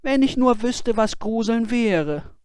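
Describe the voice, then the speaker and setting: A man's voice: very high-pitched, animated, slow pace, darkish tone, smooth, no echo, voice pushed up, full character voice. A man voicing a cheerful, naive, fearless youth in a fairy tale, with a bold, carefree, exclaiming manner. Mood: wistful complaint. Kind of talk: fairy-tale storybook character voice.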